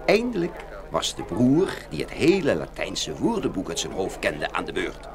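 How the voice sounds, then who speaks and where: A man narrating a fairy tale in an expressive, scripted storytelling style. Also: low voice, moderate pace, gravelly, a crowd in the background.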